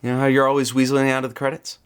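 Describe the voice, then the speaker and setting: A man's voice: mid-range voice, monotone, racing, clear, resonant tone, close to an everyday voice. An actor playing a smug radio producer who teases the host he has locked in. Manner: smug, teasing.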